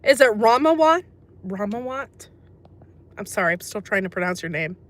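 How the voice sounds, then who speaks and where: A chatty woman from America hosts a casual livestream, talking in an everyday middle-pitched voice. She keeps reading out and answering chat messages between her talk, and laughs often. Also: sing-song, normal speed. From USA. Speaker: livestream host.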